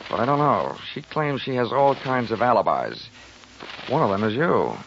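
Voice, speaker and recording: A man's voice: medium pitch, sing-song, moderate pace, creaky, fairly bright tone, a little hiss or hum. A man acting a wry, wisecracking insurance investigator in a 1940s radio drama, clipped and hard-boiled. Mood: probing, wry. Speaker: lead actor and narrator of a radio detective drama. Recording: muffled 1940s radio recording with music.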